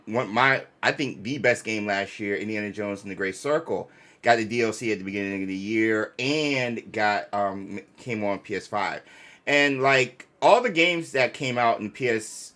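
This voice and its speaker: Bright, forceful-voiced man talking casually. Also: fairly low pitch. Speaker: host of a gaming reaction show. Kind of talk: casual livestream talk.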